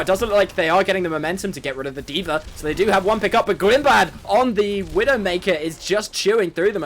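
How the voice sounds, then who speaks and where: A man's voice: on the high side, fairly bright tone, a little hiss or hum. Irish male esports caster. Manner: upbeat, laughs often. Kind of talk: live play-by-play commentary.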